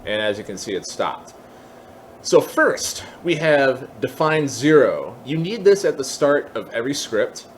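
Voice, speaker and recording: A man with a medium-pitched voice leads a tutorial in an informal, explanatory way. He thinks aloud as he moves step by step through the software. Some background noise sits under his voice. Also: big pitch swings, measured pace. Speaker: tutorial presenter.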